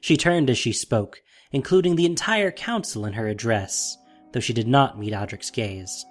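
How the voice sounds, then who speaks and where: A man's voice: medium pitch, expressive, moderate pace, faint music behind. A man narrating a fantasy audio drama in a dramatic, scripted storytelling style.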